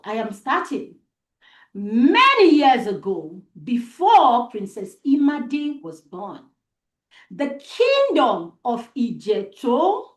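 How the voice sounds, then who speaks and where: A woman with a Nigerian accent telling a story live to children, deliberate and expressive, with dramatic pauses and repetition, as host. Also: on the high side. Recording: roomy, echoey sound.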